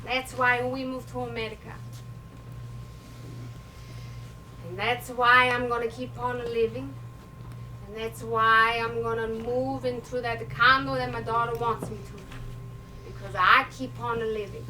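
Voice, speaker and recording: Woman doing feisty old italian nonna on stage, blunt broken english, high bright voice, mic far off. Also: little pitch movement. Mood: resolute, reflective. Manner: blunt, halting, broken English with small exclamations. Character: feisty elderly Italian immigrant grandmother, stubborn survivor. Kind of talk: live comedic stage monologue. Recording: distant mic, noisy live room.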